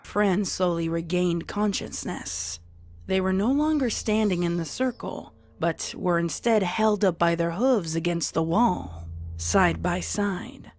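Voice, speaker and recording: A woman's voice: on the low side, takes it slowly, a little mellow. A woman giving a dramatic scripted narration of a story. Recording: music behind the voice.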